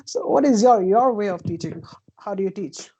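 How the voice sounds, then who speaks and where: A woman's voice: mid-range voice, expressive, normal speed, mellow, lo-fi sound, slight background noise. A woman hosting an interview, asking questions politely and answering with short encouraging remarks. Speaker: interviewer host.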